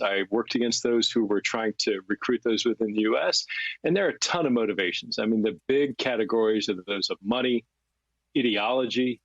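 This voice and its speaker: Man, former intelligence officer, mid pitch, measured interview answer. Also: no room sound, muffled recording.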